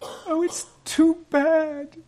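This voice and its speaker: A man plays a tender, anxious little girl in a storybook. The pitch is pushed well up and swings expressively. The manner is pleading and emotional. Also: big pitch swings, slow pace, darkish tone, full character voice. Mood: distressed. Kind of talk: storybook character voice.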